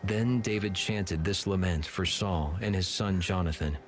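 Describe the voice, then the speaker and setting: A man narrating scripture from a script in a measured, solemn manner. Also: low-pitched. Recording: clearly audible background noise and ambience.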